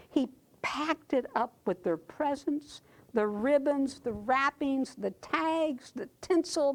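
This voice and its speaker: A woman reciting a children's story in a theatrical way, with dramatic pauses and drawn-out emphasis. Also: medium pitch, a little mellow.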